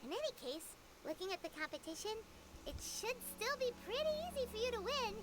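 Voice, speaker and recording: A tiny, cheeky fairy companion from a video game. The voice is extremely high and childlike. It bounces around with lively, peppy swings. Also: female voice, a little fast. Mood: thoughtful. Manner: speaks of self in third person, peppy. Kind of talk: animated video game voice acting.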